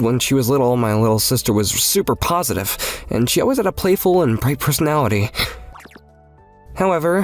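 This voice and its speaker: A man's voice: medium pitch, natural voice. A man voicing an earnest, protective teenage brother who narrates his own story in a manga dub. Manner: earnest, protective, self-doubting first-person narration. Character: earnest teenage high school big brother. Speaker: voice actor in a fan manga dub.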